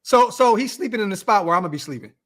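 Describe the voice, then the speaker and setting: A man's voice: high-pitched, animated, racing, fairly bright tone. A man hosting a casual podcast, cutting in with slangy, teasing banter. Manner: teasing, interrupting. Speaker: podcast host.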